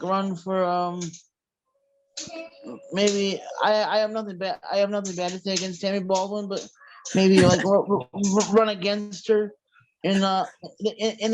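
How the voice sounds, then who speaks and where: A man's voice: high-pitched, rough recording. A man sharing his goals on a video call, stumbling and repeating words as he talks. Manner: halting, repeats words and restarts often. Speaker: meeting participant.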